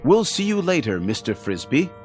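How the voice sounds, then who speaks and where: A polite, faintly odd stranger in a radio drama. His speech is formal and measured in wording, yet the pitch swings very widely, and music plays faintly underneath. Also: sing-song, darkish tone. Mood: smooth, courteous. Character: courteous, odd stranger, a man quietly sizing people up.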